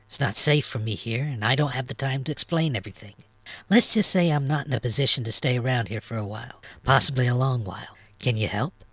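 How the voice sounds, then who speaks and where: A man's voice: a little high, big pitch swings, fairly quick, hardly any pauses, a bit bright, no room sound, pitched above the performer's own voice. A man voicing a tough, sly woman on the run in a narrated story, in a guarded, matter-of-fact manner. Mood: serious, pleading. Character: tough, sly young woman on the run, street-raised psychic. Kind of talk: acted character dialogue in a narrated story.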